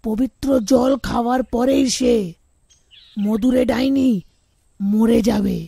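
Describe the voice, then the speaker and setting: Woman playing a slow, creaky village sage. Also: fairly high pitch, fairly even intonation, warm tone, dry recording, full character voice. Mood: calm, solemn. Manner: slow, deliberate instruction. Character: solemn village holy man, calmly commanding. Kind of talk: cartoon fairy tale acting.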